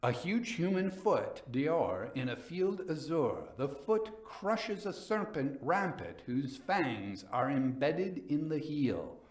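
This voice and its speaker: A man's voice: on the high side, big pitch swings, takes it slowly, hardly any pauses, darkish tone, roomy sound, far from the mic, pitched above the performer's own voice. A man acting a cunning avenger who feigns tender concern, speaking in a solicitous, emphatic way in a gothic tale. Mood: courteous. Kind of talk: dramatic gothic storytelling.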